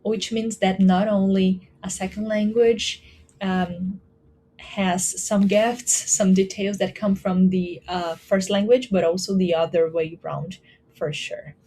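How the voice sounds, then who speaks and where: A Brazilian woman, a native Portuguese speaker with a Brazilian Portuguese accent, presenting research at a conference in a measured way, with frequent ums and uhs. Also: medium pitch, steady tone, dark tone, a little hiss or hum. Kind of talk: academic talk.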